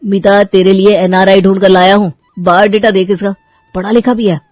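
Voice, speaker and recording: A woman's voice: medium pitch, flat delivery, moderate pace, a little mellow, dry recording, studio quality, faint music behind, lightly acted. A woman voicing a dutiful, exasperated young brother in a cartoon story. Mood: persuading. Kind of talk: Hindi cartoon story voice acting.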